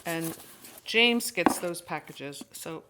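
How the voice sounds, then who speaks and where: A woman vlogger chatting casually to her viewers in an expressive, exclamatory way, thinking aloud as she goes. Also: on the low side, slow, very noisy. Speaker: host of a horror book channel. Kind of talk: casual chatty unboxing vlog.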